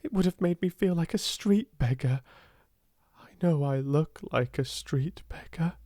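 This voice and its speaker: A woman's voice: low-pitched, expressive, unhurried, mellow, no echo, pitched above the performer's own voice. A proud young schoolgirl as a woman narrator plays her speaks with passion and emphasis. The voice sits well above an adult's, moves freely up and down, and is recorded dry and close for a storybook. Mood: subdued, candid. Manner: fervent, emphatic.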